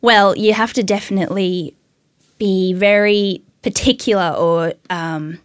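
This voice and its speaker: A young woman chatting as an interview guest, her naturally high voice kept deliberately lower. She laughs a lot and pokes fun at herself. She speaks at an average pitch for a woman. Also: steady tone, smooth, no room sound, studio quality. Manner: laughs often, self-deprecating, bubbly. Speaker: actress and writer guest. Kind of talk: casual interview chat.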